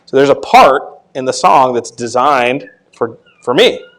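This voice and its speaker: Man, tenor voice, mid pitch, halting with restarts, casual Sunday school teacher. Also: lively intonation, a bit bright. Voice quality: tenor voice, not deep. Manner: halting, many restarts and fillers. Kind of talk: casual church class teaching. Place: church classroom.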